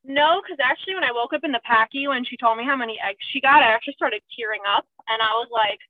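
A woman in her mid-thirties speaks with a fairly high voice over a tinny, dry phone line. She chats openly and pokes fun at herself. Her tone stays casual and frank. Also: fast, no echo. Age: 30s. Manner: chatty, self-mocking, often laughing. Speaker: surgical resident. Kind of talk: candid casual chat.

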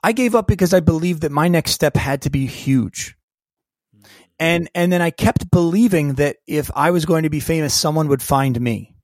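A man telling a personal story as a podcast guest, lively and acting out the voices of people in it. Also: a little high, normal speed, dry recording, clean studio sound. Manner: animated storyteller who acts out quoted dialogue. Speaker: guest, keynote speaker and coach.

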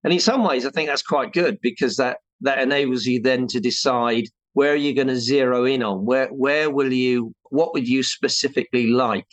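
A man's voice: mid-range voice, moderate pace, few pauses, no echo. A man in his sixties from England, a surveyor being interviewed, talking in a chatty, rambling way with many restarts and self-deprecating jokes. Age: 60s. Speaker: chartered building surveyor, guest. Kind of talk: casual interview talk.

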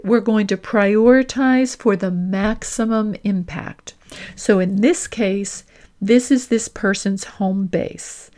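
Female career coach, mid-range voice. Deliberate, emphatic podcast teaching with clearly stressed key phrases. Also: mid-range voice, a little mellow. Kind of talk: instructional podcast talk with acted example dialogue.

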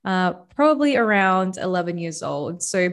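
Reflective low-voiced young woman. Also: big pitch swings, moderate pace. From Philippines. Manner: reflective, many fillers and "like". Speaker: guest. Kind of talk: casual interview storytelling.

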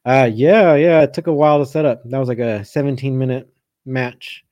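Male coach for casual livestream Q&A. Warm, unforced voice, halting thinking-aloud delivery, dry acoustics. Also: medium pitch, fairly even intonation, no room sound. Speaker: catch wrestling coach hosting a live Q&A. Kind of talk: casual live chat answering viewer comments.

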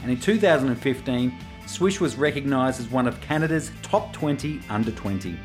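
A man's voice: medium pitch, expressive, brisk, faint music behind. A man hosting a coaching podcast, introducing a guest and asking long, hesitant questions. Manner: hesitant, many fillers and restarts when asking questions. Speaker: podcast host. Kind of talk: podcast interview.

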